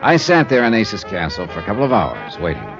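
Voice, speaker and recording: An actor playing a hard-boiled, wry insurance investigator in a 1950s radio detective drama. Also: male voice, on the low side, huge pitch swings, fairly quick. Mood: wry, narrating. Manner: wry, clipped, casual tough-guy talk. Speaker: detective narrator and lead. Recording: dull old radio recording, music behind. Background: jazz music.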